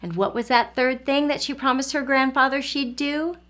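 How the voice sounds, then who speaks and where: A woman's voice: high-pitched, fairly even intonation, normal speed, fairly bright tone. A woman reading a children's picture book aloud as a teacher, expressively and addressing young listeners directly.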